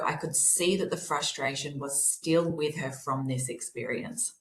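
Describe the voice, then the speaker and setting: Low-voiced hoarse woman presenting a seminar. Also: a bit bright, echoey room, rough recording. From Australia. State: slightly hoarse.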